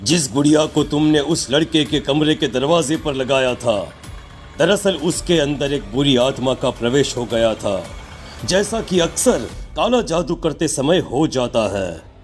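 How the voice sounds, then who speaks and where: A man playing a stern occult holy man in a horror cartoon, speaking gravely and accusingly. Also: on the high side, expressive, unhurried, soft background music. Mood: solemn, instructing.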